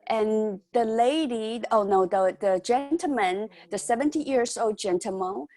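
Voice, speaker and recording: A woman's voice: on the high side, unhurried, a little mellow. Chatty woman in her fifties. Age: 50s. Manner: chatty storytelling, non-native phrasing. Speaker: guest speaker. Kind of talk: online training talk.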